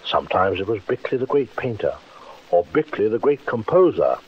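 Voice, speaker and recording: Droll radio mystery narrator, muffled vintage sound. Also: male voice, lowish voice, sing-song, moderate pace, husky. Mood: wry, confiding. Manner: droll, theatrical, confiding. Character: wry, urbane male storyteller of a murder mystery. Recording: old band-limited radio recording with loud music.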